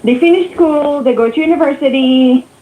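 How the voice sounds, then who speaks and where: A woman's voice: high-pitched, moderate pace, phone-quality sound, a little hiss or hum. A woman in her twenties from Israel, a native Hebrew speaker, talking hesitantly on a call with many restarts. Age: 20s. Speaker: caller. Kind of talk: casual chat on a call.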